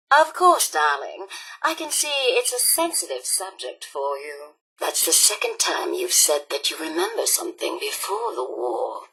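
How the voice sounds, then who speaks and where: A woman's voice: medium pitch, bright, forceful tone, slightly rough, full character voice, low-quality recording. A woman voicing a glamorous, haughty female robot supervisor in a video game, with a grand, affected theatrical drawl. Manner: grand, affected diva drawl, calls everyone darling.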